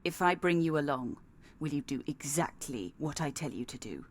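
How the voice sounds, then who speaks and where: A woman's voice: sits low, animated, moderate pace. An actress playing a stern, sardonic female assassin in a video game, with a dry, threatening delivery. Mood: cold, curt.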